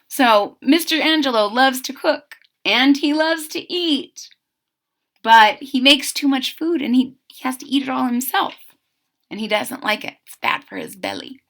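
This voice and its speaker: A woman reads a picture book aloud to children, unhurried and expressive. Her voice sits high and bright, leaping around in pitch, and she stops now and then for friendly asides to her listeners. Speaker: story reader.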